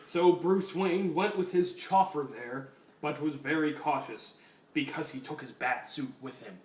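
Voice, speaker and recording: A man's voice: fairly high pitch, a little slow, darkish tone. A man giving a mock-serious, comedic dramatic reading of a story as its narrator. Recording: echoey room, distant mic, faint hiss.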